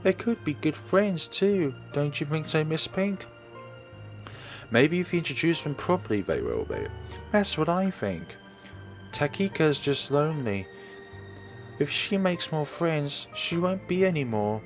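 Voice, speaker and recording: A man's voice: a little high, big pitch swings, measured pace, faint music behind, voice pushed up. A man voicing a cheerful, chatty young schoolgirl in a visual novel read-through. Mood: chatty, earnest.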